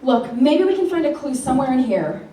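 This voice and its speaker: A woman's voice: high voice, big pitch swings, a little fast, mellow. A woman playing a plucky, resourceful action sidekick in a comic radio play, perky and matter-of-fact. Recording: echoey hall, far mic, noisy background.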